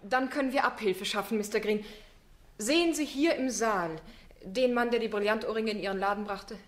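An actress playing a determined young lawyer, earnest and questioning, in a radio drama. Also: female voice, a little high, normal speed, close to an everyday voice. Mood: probing. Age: young adult. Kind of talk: German radio drama acting.

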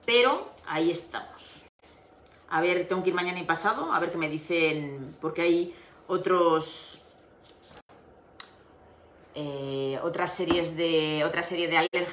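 A woman's voice: fairly low pitch, unhurried, long pauses, a bit bright, slight background noise. Chatty middle-aged woman live-streaming crafts. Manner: chatty, laughs often, greets viewers by name. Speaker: craft livestream host. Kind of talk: casual livestream chat. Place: home room with window. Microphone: device camera on desk. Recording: echoey room.

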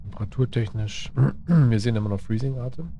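A man's voice: medium pitch, fairly quick, warm tone, slight background noise. A man giving casual let's play commentary, thinking aloud to himself in short, musing phrases. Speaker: gaming streamer playing solo.

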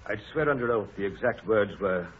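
An actor playing a correct, reserved private secretary with a raspy voice, in 1930s radio drama style. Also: male voice, fairly low pitch, expressive, a little fast. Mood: careful. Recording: dull 1930s radio broadcast.

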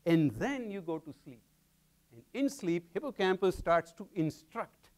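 A middle-aged man with an Indian accent giving an animated public science lecture in a large lecture hall, pacing and gesturing. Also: on the high side.

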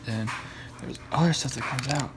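A young man casually explaining a makeup gift in a halting, offhand way, speaking away from the phone mic. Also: mid-range voice, very noisy. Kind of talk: casual chat.

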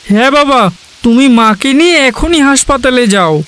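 A woman's voice: sits high, lively intonation, moderate pace, a bit bright, clean tone. A woman playing an earnest, concerned young schoolchild in a Bengali cartoon story.

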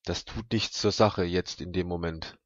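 A man voicing a secretive, insistent caller in a tabletop game, speaking formally and deliberately as he offers deals. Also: deep voice, fairly even intonation, no room sound, dull audio, close to an everyday voice. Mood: coolly insistent.

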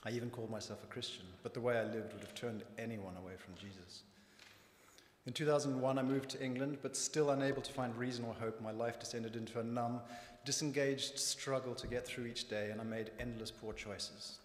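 A man's voice: mid-range voice, fairly even intonation, a little hiss or hum. Zimbabwean man, earnest, echoey church hall. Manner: measured, earnest, reading from notes. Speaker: church member giving testimony. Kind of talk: reading a personal testimony. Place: church hall with raised stage. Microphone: lectern microphone. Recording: echoey hall from lectern mic.

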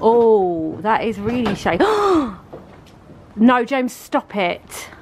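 A woman chatting casually outdoors in a garden, laughing now and then in a lively way. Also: on the high side, drawn-out words, noisy background. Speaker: home renovation vlogger.

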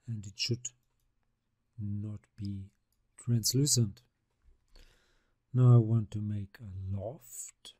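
Slow, low-voiced man. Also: steady tone, mellow, no echo. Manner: unhurried, step-by-step narration of mouse actions. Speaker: CAD software instructor. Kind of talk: screen-recorded software tutorial.

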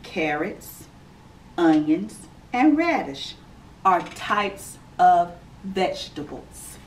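Slow sing-song woman reading to children. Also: lowish voice, big pitch swings. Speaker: preschool teacher reading aloud. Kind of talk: children's read-aloud storytime. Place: classroom indoors. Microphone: camera a few feet away. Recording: slightly distant camera mic with faint room noise.

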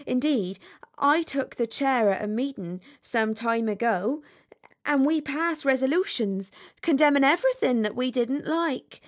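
A woman voicing a peaceable, practical female duck in a storybook, chatty and homely, with emphatic, drawn-out delivery. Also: high voice, lively intonation, voice pushed up. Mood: placid, practical. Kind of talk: storybook character reading.

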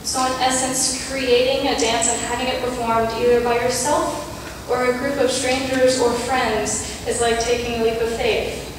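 A young woman with a fairly high voice gives a prepared reflection from a church pulpit. She sounds earnest and measured, with a few self-deprecating asides. The long reverb of a big sanctuary and a steady room hum surround her. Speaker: youth speaker. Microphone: pulpit mic, camera far off. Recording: echoey church hall from far off.

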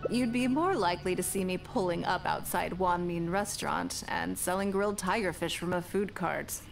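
Elegant rich businesswoman game character, measured n genteel, bit teasing, music playing underneath. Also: on the low side, gravelly. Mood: reflective, warm. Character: poised, refined, shrewd wealthy businesswoman, playful and fond. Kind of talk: video game dialogue acting. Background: background music.

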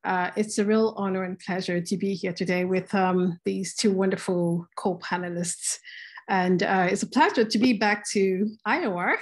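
Woman on a video call, halting. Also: mid-range voice, steady tone. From Nigeria. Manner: hesitant, many fillers. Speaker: panelist artist. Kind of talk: presenting her art practice.

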